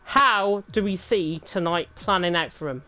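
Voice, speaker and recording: Fast-talking woman race commentator. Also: fairly low pitch. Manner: fast-talking, lively and emphatic. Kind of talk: live sim racing commentary.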